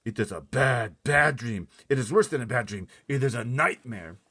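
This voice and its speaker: A man voicing a huge, excitable giant in a storybook, kindly but easily agitated, with muddled folksy grammar and theatrical exclamations. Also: mid-range voice, moderate pace, husky, pitched above the performer's own voice, exaggerated voice. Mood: anguished, furious.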